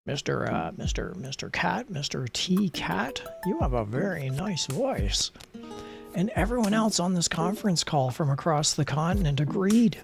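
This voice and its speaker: A man streaming a card game, chatting casually in a soft, rambling, self-deprecating way with asides to the game. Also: on the high side, very animated, normal speed, music in the background. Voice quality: soft, not gravelly at the moment. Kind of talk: casual live-stream chat while playing.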